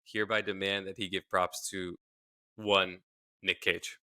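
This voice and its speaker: A young man with a low, bright and smooth voice, chatting in a laid-back, easygoing way. He laughs a lot and tends to repeat himself as he talks. He sounds like a podcast co-host recording from home. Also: level tone, measured pace. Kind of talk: casual chat. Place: home setup, remote recording.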